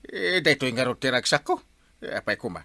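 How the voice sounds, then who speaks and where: An actor playing a lazy, vain village captain in a comedy radio drama, whiny and dismissive, often stammering. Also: male voice, mid-range voice, very animated, fairly quick, bright, forceful tone, no echo. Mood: defensive, stubborn. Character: lazy, vain village captain obsessed with his online videos.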